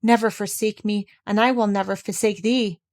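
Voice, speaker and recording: A woman's voice: medium pitch, steady tone, normal speed, darkish tone, close to an everyday voice. A woman voicing a devoted little girl in a fairy tale, speaking with earnest, pleading, old-fashioned solemnity.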